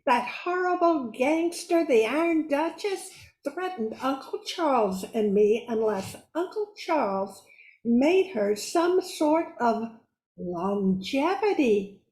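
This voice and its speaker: An earnest, worried niece from an old-time radio play , acted by a woman, in an echoing room. Her voice is high and very lively, with emphatic, measured phrasing. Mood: indignant. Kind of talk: amateur old-time radio drama acting.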